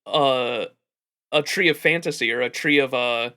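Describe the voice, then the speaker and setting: A man hosting a livestream, giving casual, rambling, animated gaming commentary with plenty of swearing. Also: mid-range voice, unhurried. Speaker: livestream host.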